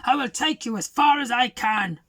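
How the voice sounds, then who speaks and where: A woman's voice: a little high, normal speed, a bit bright, dry recording, clean studio sound. A woman voicing a helpful young boy in a children's storybook, eager and apologetic.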